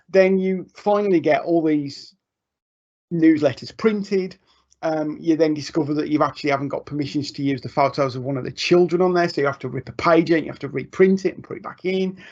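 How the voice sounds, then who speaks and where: A man giving a relaxed, informal live software tutorial to teachers, with frequent ums and restarts. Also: on the high side. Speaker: education technology trainer. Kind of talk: live screen-share tutorial. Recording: muffled lo-fi online stream audio.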